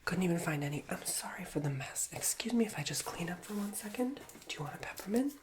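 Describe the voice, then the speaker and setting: A man's voice: high voice, lively intonation, fast talker, noisy background. A man with a nasal voice, whispering an ASMR roleplay as a chatty, rambling school guidance counselor while getting over a cold. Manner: chatty, rambling, self-mocking. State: recovering from a cold.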